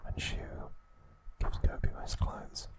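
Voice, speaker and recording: Male, full whisper, breathy, slow deliberate phrasing, scripted ASMR narration. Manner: slow, deliberate, soft, broken into short phrases. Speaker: ASMR narrator recapping a show.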